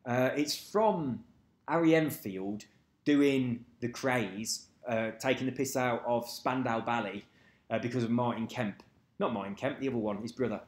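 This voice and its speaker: A man's voice: mid-range voice, measured pace. Rambling man in a roomy space. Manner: rambling, swears freely, loses track of names. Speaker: vlogger. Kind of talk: casual rambling chat to camera. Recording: echoey room.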